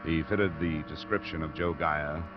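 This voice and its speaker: An actor playing a laconic, steady investigator in a 1950s radio detective drama, with a dry, matter-of-fact delivery. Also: male voice, a bass voice, moderate pace, background noise. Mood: dry, narrating. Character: laconic, steady male insurance investigator narrating his case. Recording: muffled old radio broadcast recording.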